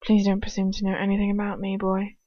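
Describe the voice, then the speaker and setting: A woman's voice: mid-range voice, completely flat delivery, fast, no room sound, lo-fi sound, natural voice. A woman voicing a malicious, aristocratic male villain with a contemptuous drawl while reading a story aloud.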